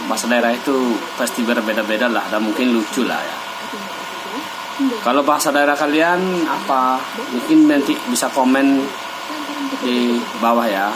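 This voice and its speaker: A young man from Sabu island speaks at an unhurried, deliberate pace, saying each word twice so viewers can follow. His voice sits at an ordinary middle pitch. A steady hum like a hair dryer runs behind him the whole time. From Sabu island, East Nusa Tenggara, Indonesia. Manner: slow, deliberate, pointing at his face and repeating words. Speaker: vlogger teaching his local language. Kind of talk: casual to-camera language lesson.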